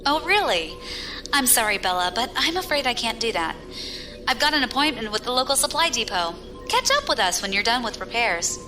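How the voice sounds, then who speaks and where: A woman's voice: fairly high pitch, very animated, brisk, over music. An actress playing a cool, scheming female space pirate leader in an audio drama, wry, teasing and self-assured. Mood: mock-sweet, teasing.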